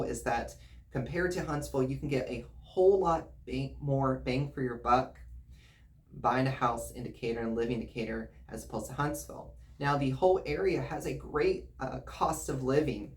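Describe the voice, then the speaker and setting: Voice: medium pitch, darkish tone. A real estate agent from the United States hosting an informal, explanatory video presentation in a conversational way. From United States, grew up in Virginia and Missouri.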